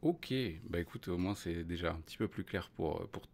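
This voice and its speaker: A man's voice: sits low, fairly even intonation, moderate pace, gravelly, darkish tone. A French man hosting a casual interview, asking questions with many hesitations and restarts. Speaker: host.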